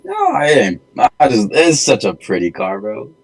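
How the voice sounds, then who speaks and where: A man's voice: mid-range voice, huge pitch swings, normal speed. A young American man chatting casually as a podcast co-host, with an informal, slangy, bro-ish way of talking. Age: young adult. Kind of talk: casual chat.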